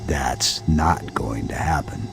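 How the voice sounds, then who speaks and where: A man's voice: a bass voice, takes it slowly, creaky, faint music behind, exaggerated voice. A man playing a stoic, terse armored soldier in a video game. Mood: terse, steady. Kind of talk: video game cutscene acting.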